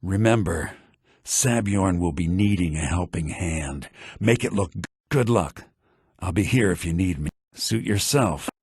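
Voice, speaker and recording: An actor playing a sly, cynical townsman in a fantasy video game, with a husky, gravelly voice and a wry, conniving, expressive delivery. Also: low voice, unhurried, dry recording, studio quality, heavily acted. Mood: sly, conspiratorial. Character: sly, cynical adult male townsman and schemer. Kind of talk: scripted game dialogue.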